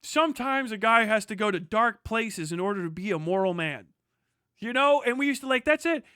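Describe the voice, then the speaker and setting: A man's voice: high-pitched, lively intonation, brisk, sharp tone, clear, resonant tone, dry recording. A man doing live reaction commentary as a streamer, blunt, outspoken and free with swearing. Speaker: streamer reacting to a news video. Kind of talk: live reaction commentary with read-out passages and rants.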